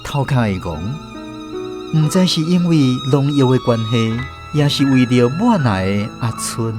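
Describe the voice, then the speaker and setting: A man from Taiwan narrating a radio drama in a calm, measured storytelling voice. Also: mid-range voice. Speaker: host and narrator. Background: soft violin music.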